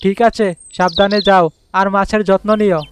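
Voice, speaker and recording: A man's voice: high-pitched, fairly even intonation, normal speed, noisy background, natural voice. A man playing a friendly village fish seller who gives instructive advice in a Bangla cartoon. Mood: friendly, advising.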